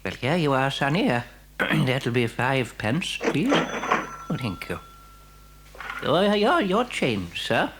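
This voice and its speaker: A kindly shopkeeper in a children's audio play. The voice sits low and swoops widely in pitch, fussing and chatty, stammering and doubling back on words. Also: female voice, sing-song, takes it slowly, pauses often, heavy background noise, heavily acted. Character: kindly, fussing shopkeeper serving a small boy. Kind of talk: acted audio dramatisation for children.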